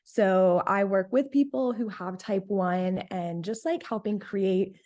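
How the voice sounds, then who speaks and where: A woman's voice: mid-range voice, slow pace, darkish tone. A woman in her late twenties from North Carolina, a diabetes health coach, chatting casually as an interview guest, laughing often and filling with "like". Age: 20s.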